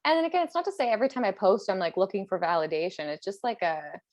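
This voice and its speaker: A woman in her thirties chatting casually and candidly as a podcast host, thinking out loud with plenty of "like". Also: medium pitch, fast. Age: 30s. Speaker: podcast host and personal trainer.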